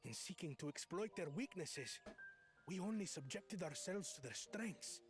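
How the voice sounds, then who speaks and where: Proud warrior of a feuding tribe, grave and measured, highish voice, music behind. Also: male voice, animated. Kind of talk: game cutscene dialogue. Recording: game score playing behind.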